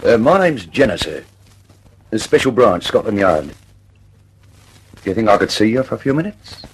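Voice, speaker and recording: An actor in an old radio drama playing a polite, reluctant plainclothes policeman, formal and halting. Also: fairly low pitch, big pitch swings, husky, exaggerated voice, poor audio. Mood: formal, apologetic.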